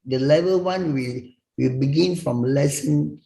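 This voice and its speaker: Hesitant man with a mellow voice. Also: fairly high pitch, a little slow, vocal fry. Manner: hesitant, unsure. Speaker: student. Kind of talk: asking a question in class. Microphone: video call.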